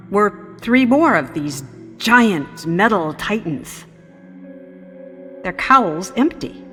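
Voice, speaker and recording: A solemn female professor addressing listeners in a radio play. Her lowish voice is slow and measured, ringing in a long hall reverb over music. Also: a bit bright. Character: female professor solemnly recalling the aftermath of an invasion. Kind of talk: radio drama monologue, as a formal speech.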